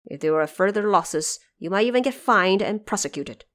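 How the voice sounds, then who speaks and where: A woman voicing lowly stable officials in an audio drama, frank and deferential as they explain things. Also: lively intonation, moderate pace, a bit bright, close to an everyday voice. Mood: frank, cautionary.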